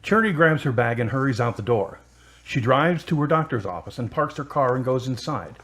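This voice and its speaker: A male narrator with a medium-pitched voice reads scripted scene descriptions. It is plain storytelling for an audio drama. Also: expressive, a little fast, fairly bright tone.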